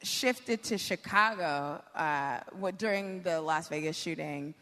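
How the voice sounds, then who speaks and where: A woman's voice: low-pitched, slow, a bit bright, slight background noise. A woman chatting candidly and emphatically about politics as a guest on a live panel. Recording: reverberant hall, on stage.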